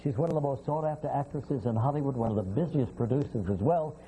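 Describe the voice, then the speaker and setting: A man co-hosting a TV talk show, animated and teasing as he asks questions. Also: medium pitch, fast, slight background noise, poor audio.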